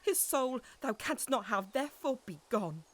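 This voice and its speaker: A woman's voice: on the high side, animated, moderate pace, a bit bright. A woman playing a grieving, defiant noble widow in a Shakespearean audio drama, with declamatory, emotional delivery. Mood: defiant horror.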